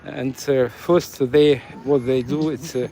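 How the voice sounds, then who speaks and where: A man's voice: medium pitch, steady tone, takes it slowly, vocal fry, dark tone. A man from eastern Ukraine with a Ukrainian accent, whose first language was Russian, giving a walking tour stream with many fillers and restarts. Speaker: city walk streamer. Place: city streets outdoors. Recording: faint street noise outdoors. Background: faint street and traffic noise.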